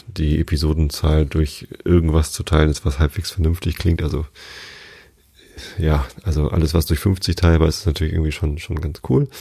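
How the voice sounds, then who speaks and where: Hoarse male podcast host, very low gravelly voice, rambling casual delivery, dry close sound. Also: no echo. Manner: rambling, relaxed, many fillers and restarts. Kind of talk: casual sleep podcast chat.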